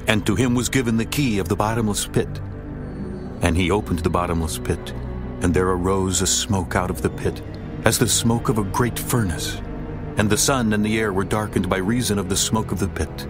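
A man narrating a dramatized scripture reading in a steady, grave voice. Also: on the low side. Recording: narration over music.

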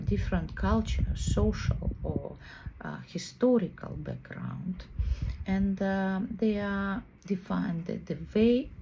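A woman in her fifties with a Russian accent, explaining her artwork to the camera in a halting way, often pausing to search for words. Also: medium pitch, creaky. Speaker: artist. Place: small room indoors. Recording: dull lo-fi sound with steady background noise.

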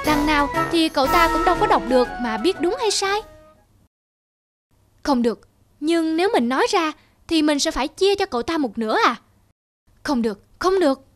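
A woman voicing a pompous, greedy rat in an animated fable, boastful and condescending. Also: sits high, normal speed, pauses often, faint music behind. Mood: scheming. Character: pompous, sly, greedy library-dwelling rat. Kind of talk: animated fable character acting.

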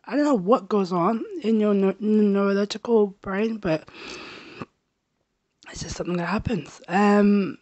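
Raspy-voiced woman vlogger with a stammer. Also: mid-range voice, measured pace, darkish tone, dry recording, dull audio. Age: 30s. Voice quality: slightly raspy. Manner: stammers, with repeats and restarts. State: recovering from a sore throat. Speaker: travel vlogger. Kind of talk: casual personal talk to camera. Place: small bedroom, indoors. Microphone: handheld lapel mic held near the mouth.

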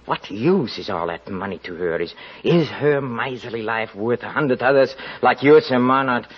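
An actor playing a prideful, poor young writer racked by guilt, with halting, stammering speech, in old-time radio drama style. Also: male voice, medium pitch, husky, lightly acted. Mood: intense, brooding. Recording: dull, noisy old radio recording.

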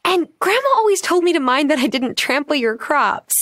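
A woman's voice: high voice, big pitch swings, moderate pace, fairly bright tone, dry recording, studio quality. A woman playing an earnest, polite young newcomer in a video game, with a slightly hesitant manner. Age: young adult.

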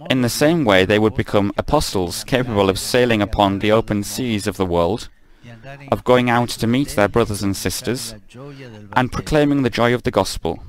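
A man giving a live spoken translation of a homily, measured, with frequent stumbles and self-corrections. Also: lowish voice, rough recording.

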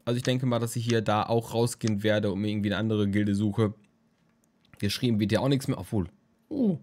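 A man's voice: fairly low pitch, fairly quick, darkish tone. Sleepy young male let's-player, rambling and jokey, casual game commentary at a home desk mic. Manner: rambling, jokey, crude humor. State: tired, just woke from a nap. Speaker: let's play host.

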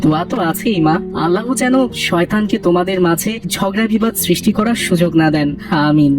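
A woman voicing a devout, loving cartoon father who advises in a measured way. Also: fairly low pitch, music in the background, lightly acted. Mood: earnest, caring.

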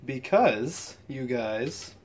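A man's voice: mid-range voice, expressive, extremely slow. A young man chatting casually as a vlogger, talking into a phone held at arm's length. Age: young adult. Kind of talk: casual vlog chat. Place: home rooms, car and outdoors. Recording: clear background noise, handheld phone.

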